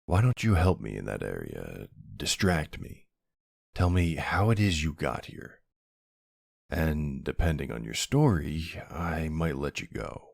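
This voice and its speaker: A man playing a centuries-old vampire hunter in an ASMR roleplay, gruff, wry and suspicious, questioning someone in a brusque, intimate way. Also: extremely low pitch, big pitch swings, a little mellow, no room sound, studio quality, exaggerated voice. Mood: probing, guarded. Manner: brusque, wry, slow interrogating delivery with pauses. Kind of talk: ASMR roleplay, intimate one-sided dialogue.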